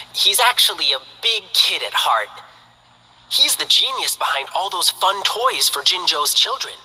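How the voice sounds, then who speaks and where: A woman's voice: lowish voice, bright, forceful tone, slightly rough, big-room sound, exaggerated voice, rough recording. An actress playing a cheerful, playful young female lion dancer in a game, upbeat and chatty.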